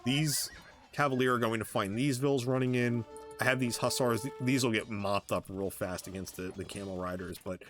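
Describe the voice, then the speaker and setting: A man giving casual, halting commentary on his own strategy game match, thinking aloud as he plays. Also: medium pitch, fairly even intonation, fairly bright tone. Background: background music.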